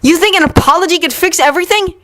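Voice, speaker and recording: A woman voicing a distraught young man who shouts accusations and is close to tears. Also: very high-pitched, fast, pitched above the performer's own voice. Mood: furious. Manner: yelling accusations. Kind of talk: dramatic story reading.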